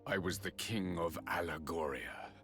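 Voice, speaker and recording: A man acting a very deep, solemn fallen king. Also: over music, close to an everyday voice. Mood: mournful, confessional. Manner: solemn, slow, theatrical, breathy near-whispers. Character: fallen former king, grave, bitter, sorrowful villain. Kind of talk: video game cutscene acting.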